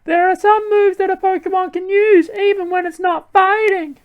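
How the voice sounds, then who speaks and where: A man voicing a friendly young woman game character in a strained falsetto, reading her dialogue aloud. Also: steady tone, moderate pace, narrow, tinny audio. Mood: helpful.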